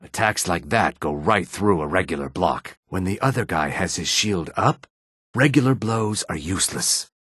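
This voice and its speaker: An actor playing a weary, wary lizard-man laborer and fighter in a fantasy video game, speaking in a measured, guarded and plain-spoken way with a raspy throat. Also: deep voice, animated, fairly bright tone, no echo, clean studio sound, exaggerated voice. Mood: firm, instructive.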